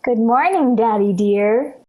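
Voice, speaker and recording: A woman's voice: on the high side, measured pace, darkish tone, close to an everyday voice, low-quality recording. A woman playing a dramatic, cheeky little schoolgirl daughter in a 1940s radio sitcom. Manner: whiny, overdramatic.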